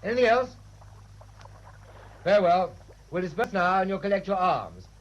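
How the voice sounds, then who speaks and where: High-voiced, clipped naval officer. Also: male voice, big pitch swings, moderate pace. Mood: brisk, firm. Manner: clipped, orderly, authoritative. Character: crisp, authoritative naval officer briefing a boarding party. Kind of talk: radio drama acting. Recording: dull, faintly noisy old radio recording.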